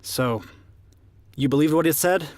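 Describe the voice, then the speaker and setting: A man's voice: fairly high pitch, measured pace, darkish tone, dry recording, lightly acted. A young man with an American accent acting a skeptical but loyal college friend in a radio drama, wry and exasperated. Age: young adult.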